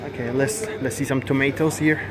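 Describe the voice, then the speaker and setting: A man's voice: medium pitch, fairly even intonation, background noise. Twenties male vlogger, Turkish accent, hesitant casual English, recorded on a phone in a busy supermarket. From Turkey. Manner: halting, many fillers and self-corrections. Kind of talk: casual walk-through vlog. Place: large supermarket indoors. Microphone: handheld phone camera. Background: store ambience.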